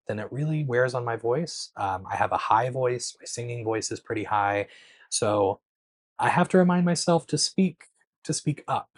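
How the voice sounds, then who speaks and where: A man hosting a knitting channel, answering viewer questions in a relaxed, patient chat to camera, with many small pauses, recorded on a clip-on mic. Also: fairly low pitch, slightly rough, no echo. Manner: conversational, explains patiently with frequent um and so.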